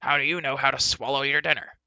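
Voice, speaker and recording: A man's voice: lowish voice, expressive, fairly quick, no echo, muffled recording, full character voice. A man voicing an irascible, impatient dwarf in a fantasy storybook, given to indignant, snappy outbursts. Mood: snappish. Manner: snappy, muttering and shouting outbursts.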